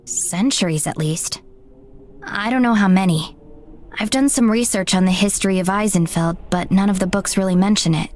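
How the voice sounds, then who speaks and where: A woman acting a measured, modest local guide. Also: mid-range voice, darkish tone, lightly acted. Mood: modest, helpful. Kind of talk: visual novel voice acting. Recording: music behind the voice.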